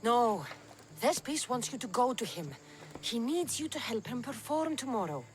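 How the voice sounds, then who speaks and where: An actress playing a bold, determined mercenary in a video game cutscene, with a firm, direct manner. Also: female voice, fairly high pitch, sing-song, normal speed. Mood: insistent. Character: bold, commanding, determined female mercenary adventurer.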